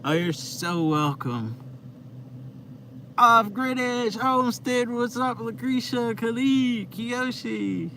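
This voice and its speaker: Laid-back young man, slow drawl. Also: extremely high pitch, animated, bright, forceful tone. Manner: laid-back, rambling, heavy use of "like". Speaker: live stream host. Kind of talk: casual live stream chat with viewers. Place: inside his van. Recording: noisy vehicle ambience.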